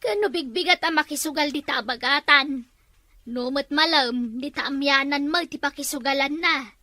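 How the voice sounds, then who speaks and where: A gossiping village neighbor with an Ilocano accent, played by a woman, high and bright in tone. The voice sounds dry and close. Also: moderate pace, dry recording. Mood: gossipy. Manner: chatty, gossipy. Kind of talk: comic drama acting.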